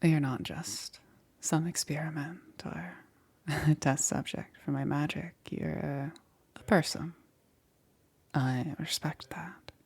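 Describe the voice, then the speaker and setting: Gentle witch, acted by a woman, ASMR roleplay, breathy whisper, unhurried, creaky, hesitant, apologetic, soothing. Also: low voice, exaggerated voice. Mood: earnest, reassuring. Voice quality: soft, breathy, often whispered. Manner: hesitant, halting, reassuring. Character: gentle, apologetic witch necromancer caring for her undead patient. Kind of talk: ASMR roleplay, intimate and slow.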